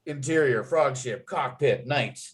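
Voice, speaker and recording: A man's voice: mid-range voice, a little slow. A man reading stage directions as narrator at a script table read, in vivid storytelling style.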